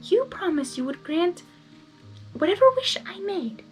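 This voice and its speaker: Woman voicing a high, soft pleading pony girl. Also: very animated, unhurried, faint music behind, full character voice. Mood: upset, insistent. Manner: earnest, pleading. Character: soft, high-voiced, pleading young female pony, gentle but determined.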